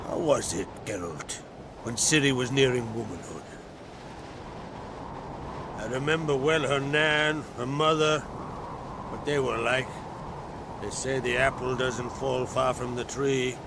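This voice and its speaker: A man playing a gruff, hearty older clan chieftain in a video game, with a gravelly voice and blunt, declamatory delivery. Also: mid-range voice, takes it slowly, long pauses, background noise. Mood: wry, confiding. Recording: loud music behind the voice.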